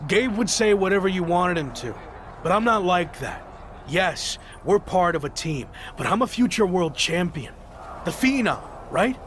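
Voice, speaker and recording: A man's voice: sits high, sing-song, moderate pace, fairly bright tone, slight background noise. A man playing a proud, headstrong young champion wrestler, insistent and defiant, in a video game cutscene. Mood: determined.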